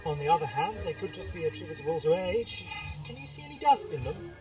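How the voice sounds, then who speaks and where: A man's voice: on the high side, big pitch swings, fast. A man playing a curious, eccentric time-travelling scientist in an audio drama, wordy and wry as he explains things. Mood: curious, musing. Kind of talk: 1980s amateur audio drama acting. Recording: distant voice under loud music.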